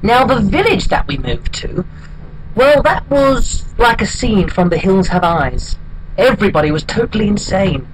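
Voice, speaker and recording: Casting: actor as hapless wistful bachelor, high male voice, deadpan and halting comic monologue, noisy homemade recording. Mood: deadpan, rueful. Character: hapless, wistful single man telling his odd life story.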